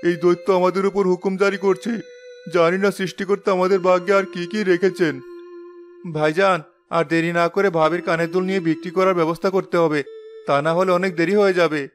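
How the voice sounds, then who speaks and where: A man plays an honest, poor cartoon villager in a high voice. He sounds earnest and anxious, deferential to those above him, with clear music underneath. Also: little pitch movement, fast, natural voice. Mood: worried. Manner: pleading, earnest. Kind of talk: cartoon fairy-tale voice acting.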